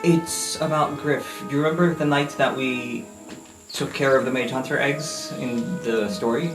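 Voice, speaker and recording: Male roleplayer, medium pitch, improvised game dialogue, roomy sound over plucked-string music. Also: steady tone, moderate pace. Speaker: tabletop game player.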